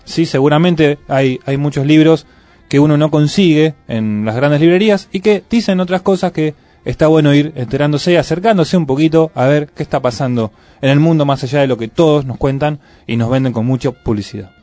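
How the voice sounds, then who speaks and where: Chatty Argentine radio host, dry studio. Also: male voice, on the high side, a little fast, darkish tone, no echo. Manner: rambling, anecdotal, with many fillers. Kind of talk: casual radio chat.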